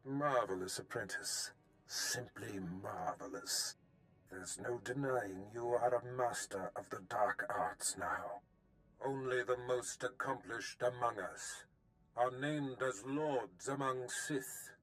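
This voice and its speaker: Pompous, measured Sith master. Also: male voice, medium pitch, fairly bright tone, low-quality recording. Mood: grandly approving. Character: powerful older male Sith master, smugly commanding. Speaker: actor in a video game. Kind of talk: scripted game dialogue.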